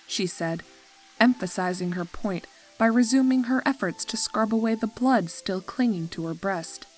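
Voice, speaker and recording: A woman's voice: mid-range voice, moderate pace, poor audio. A woman reading scripted story narration as the narrator. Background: music.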